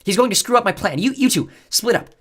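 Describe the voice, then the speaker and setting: Man voicing a loud, scheming woman plotter. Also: sits high, lively intonation, fast talker, voice pushed up. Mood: agitated. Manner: loud, agitated, commanding.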